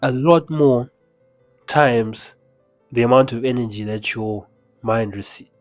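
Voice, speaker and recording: A man's voice: mid-range voice, slow, dry recording, faint music behind. A young man with a Zimbabwean accent, giving an emphatic, conversational motivational talk with chatty asides. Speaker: mindset coach. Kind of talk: casual motivational talk to camera.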